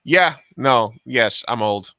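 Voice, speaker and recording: A man co-hosting a casual live-streamed show, talking in a relaxed, chatty way and often repeating words while he thinks. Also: mid-range voice, slow, clear, resonant tone, dry recording, studio quality. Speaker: podcast co-host.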